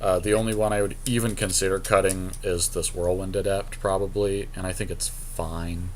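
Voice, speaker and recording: Low-voiced man thinking aloud, slight background noise. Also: little pitch movement, normal speed, darkish tone. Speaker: podcast co-host. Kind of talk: casual chat, thinking aloud through a card game draft.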